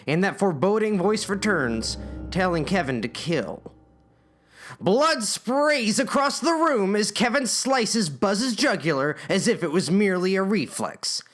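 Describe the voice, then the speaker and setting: A man's voice: high voice, animated, measured pace, fairly bright tone, soft background music. A man reading a scripted comic story in a theatrical way, with wry asides. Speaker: writer and narrator of a parody story.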